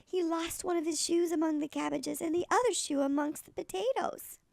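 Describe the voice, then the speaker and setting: Woman as a tiny granny with a high, creaky voice. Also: normal speed, no echo. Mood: storytelling. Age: older. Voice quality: creaky, gravelly. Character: tiny granny, a little old lady storyteller. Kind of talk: expressive children's storybook reading.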